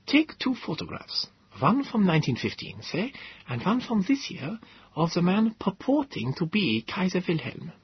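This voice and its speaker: A man voicing a thin, fragile, eccentric male professor in his thirties with a light German accent, excitable and rambling. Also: high voice, normal speed, slight background noise, voice pushed up. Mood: conspiratorial. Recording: dull lo-fi recording.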